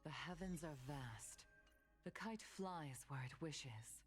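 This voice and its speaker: An actress playing a calm, poetic female video game character, in quiet game audio. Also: low-pitched, slow pace, faint music behind. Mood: serene.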